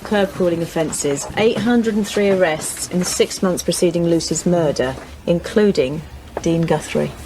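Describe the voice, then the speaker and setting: An actress playing a matter-of-fact British police detective in an audio drama, factual and direct. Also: female voice, lowish voice, unhurried. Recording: clear background noise.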